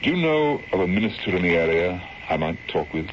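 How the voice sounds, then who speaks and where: A man's voice: sits low, big pitch swings, a little fast. An actor playing an anxious, grieving middle-aged colonel and protective father, formal and troubled, in an old-time radio drama. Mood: grave, worried. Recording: dull, noisy old radio broadcast recording.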